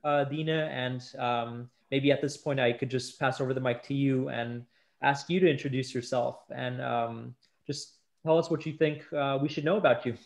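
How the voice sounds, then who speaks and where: A man's voice: mid-range voice, steady tone, moderate pace, creaky. A man in his thirties hosting a conversational podcast over a video call, with hesitant, self-correcting phrasing. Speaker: podcast host. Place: indoor room at home, video call.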